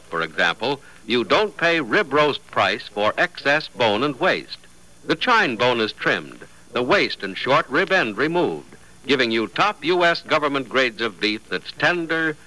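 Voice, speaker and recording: A man's voice: mid-range voice, sharp tone, rough recording. A man announcing in a polished, emphatic 1940s radio style, reading scripted narration and sponsor copy.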